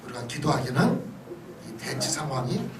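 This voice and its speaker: A man's voice: medium pitch, big pitch swings, normal speed. Middle-aged male pastor in an echoey hall. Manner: conversational, punctuated with short checking questions to listeners. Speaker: pastor and mission director giving a lecture. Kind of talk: sermon-like lecture to an audience. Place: church hall. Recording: distant mic in echoey room, noisy.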